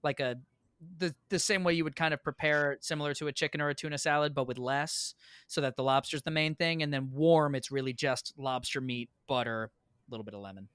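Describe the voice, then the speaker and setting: A man's voice: on the high side, steady tone, brisk, a bit bright, clean tone, dry recording. Playful man chatting, dry close sound. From New England, United States. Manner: playful, teasing, mock-serious. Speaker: podcast guest. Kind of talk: casual chat.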